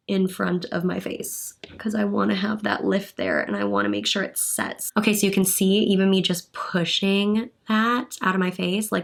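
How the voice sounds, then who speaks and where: A young woman talks through a get-ready-with-me tutorial in a chatty, upbeat way, thinking out loud as she works. She sounds a little stuffed up from a cold, and a gravelly fry creeps into her voice. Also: fairly low pitch. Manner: chatty, upbeat, thinking aloud while working. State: congested from a cold, sniffly. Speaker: beauty vlogger, hair and makeup artist.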